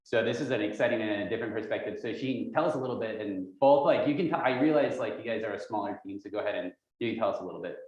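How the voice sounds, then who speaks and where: A man with a fairly low voice moderates a live panel, informal and chatty, drifting into asides. He is picked up at a distance in a very reverberant room, and the sound is a bit dull. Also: racing, hardly any pauses, darkish tone. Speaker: event moderator. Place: large echoey room at live event.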